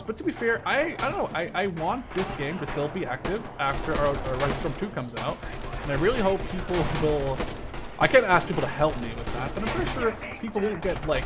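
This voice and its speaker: A man in his twenties from the Netherlands giving casual gameplay commentary, rambling and hesitant, often breaking off mid-sentence. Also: on the high side. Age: 20s. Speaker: gaming video creator. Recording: loud gunfire and artillery noise. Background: video game gunfire, artillery and machine guns.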